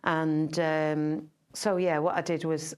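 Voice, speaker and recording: A middle-aged woman entertainer talks casually about her work, rambling with fillers and restarts. Her voice sits very low with little pitch movement. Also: little pitch movement, takes it slowly, a little mellow, smooth. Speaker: entertainer and singer. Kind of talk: casual interview chat.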